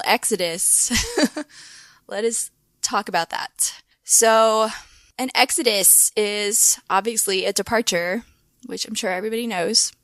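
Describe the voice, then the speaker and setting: A woman co-hosting a podcast in casual chat, with a hoarse voice and lots of filler words. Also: medium pitch, fairly bright tone, no room sound. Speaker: podcast co-host.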